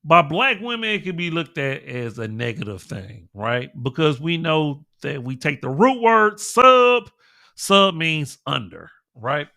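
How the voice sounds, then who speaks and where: A man's voice: on the high side, big pitch swings, a little slow, gravelly, bright, forceful tone, no room sound, clean studio sound. An American man hosting his own show, talking casually with a stuffed-up nose and checking in with "right?" and "okay?". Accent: American English. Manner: conversational, keeps adding "right?" and "okay?". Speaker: podcast host. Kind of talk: casual monologue commentary.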